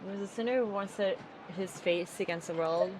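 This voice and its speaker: A woman's voice: mid-range voice, moderate pace, noisy background. A woman streamer giving casual live game commentary in amused asides. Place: indoors, streaming setup. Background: game music.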